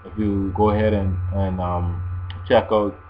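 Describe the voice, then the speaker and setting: A man's voice: low-pitched, little pitch movement, measured pace. A man giving casual, explanatory advice to viewers in a language-learning video blog, often repeating his phrases as he goes. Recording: dull lo-fi sound with mains hum.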